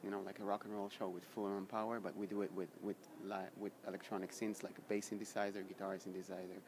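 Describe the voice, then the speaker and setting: A man in a band, talking in an interview, halting and repeating words as he searches for them. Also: deep voice, level tone, a crowd in the background. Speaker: band member. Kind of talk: casual interview chat. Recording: noisy background.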